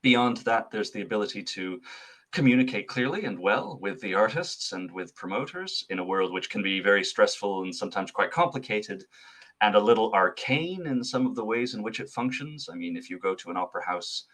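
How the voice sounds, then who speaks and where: Man from Switzerland, low voice, considered articulate answers, podcast interview. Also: lively intonation, fairly quick, a bit bright. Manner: thoughtful, articulate, with frequent fillers and restarts. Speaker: associate artist manager, guest.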